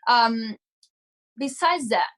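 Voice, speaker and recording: A young woman from Italy with a fairly high voice talks candidly as an activist on a live panel. She piles on "um" and "you know" as she works out her points, speaking over a video call. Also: animated, slow, a bit bright. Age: young adult. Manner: candid, talkative, many fillers. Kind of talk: panel discussion on a live stream.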